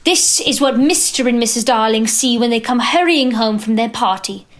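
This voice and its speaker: A woman's voice: fairly high pitch, moderate pace, lightly acted. A woman narrating an audio drama in scripted, dramatic storytelling style.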